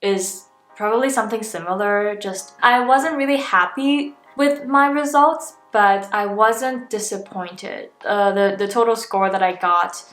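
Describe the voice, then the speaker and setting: A young woman from Taiwan, a native Mandarin speaker, talks to her camera in a loose, thoughtful way, often restarting her sentences and hedging with fillers. Her voice sits at an ordinary middle pitch. The room gives it a noticeable echo, and soft music plays faintly underneath. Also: a little slow, hardly any pauses. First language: Mandarin Chinese. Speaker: graduate student vlogger. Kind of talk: casual talk to camera.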